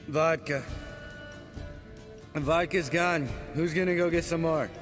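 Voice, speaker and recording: An actor playing a brash, sarcastic, goading monster hunter in a video game. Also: male voice, a little high. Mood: drunken, maudlin. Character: brash, needling, drunken monster hunter, grown man.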